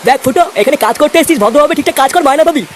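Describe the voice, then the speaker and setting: A man voicing a stern, contemptuous factory overseer in a comic cartoon, threatening and sneering in a pitched-up voice. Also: racing, slight background noise.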